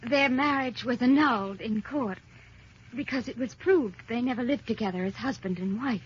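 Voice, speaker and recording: A high, very husky voice an earnest young wife in an old radio melodrama. She pleads in a theatrical, anguished romantic manner. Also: normal speed. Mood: anxious pleading. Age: young adult. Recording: dull old radio recording.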